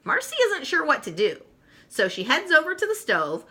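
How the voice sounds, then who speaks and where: Bright, animated woman reading a storybook. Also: high voice, moderate pace. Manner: expressive, playful, chuckling asides. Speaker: children's storybook reader. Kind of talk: animated read-aloud storytelling.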